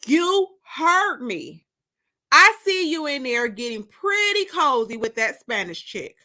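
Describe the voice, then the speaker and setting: A woman's voice: sits high, sing-song, takes it slowly, pushed and bright, voice pushed up, full character voice. A woman voicing a jealous, indignant woman in love, sassy and accusing, in a dramatic audiobook.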